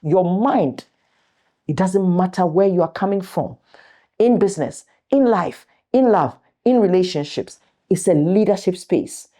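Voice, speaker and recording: Motivational speaker, woman, middle-aged. Low, warm dark voice, deliberate delivery, emphatic pauses. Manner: deliberate, emphatic, pausing between short phrases. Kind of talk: motivational talk to an audience of viewers.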